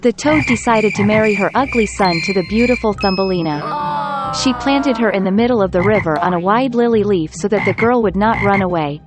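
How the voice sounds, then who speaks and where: Calm woman narrating a bedtime story. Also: mid-range voice, brisk, music in the background. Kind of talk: scripted fairy-tale narration.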